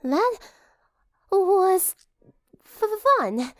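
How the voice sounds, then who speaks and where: A woman's voice: very high-pitched, sing-song, a bit bright, no echo, clean studio sound, voice pushed up, exaggerated voice. A woman voicing a shy, awkward teenage girl, sweet and hesitant, in an audiobook story. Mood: shaky, flustered. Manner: hesitant, halting, timid. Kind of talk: audiobook character dialogue.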